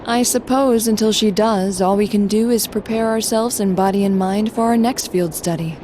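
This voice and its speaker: A woman's voice: mid-range voice, mellow, close to an everyday voice. Woman as a composed, formal young student, noisy background. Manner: formal, measured wording. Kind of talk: video game cutscene dialogue acting.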